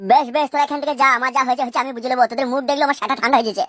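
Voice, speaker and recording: Woman voicing a defiant, rapid-fire captive man. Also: high voice, steady tone, fairly bright tone, dry recording. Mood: stubborn resignation. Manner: stubborn, taunting, crude. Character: defiant, wronged male captive, stubborn village crony. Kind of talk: comic cartoon dialogue.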